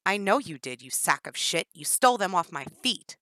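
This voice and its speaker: A woman voicing a defiant captive young woman who answers with sharp, scornful retorts. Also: lowish voice, sing-song, moderate pace, dry recording.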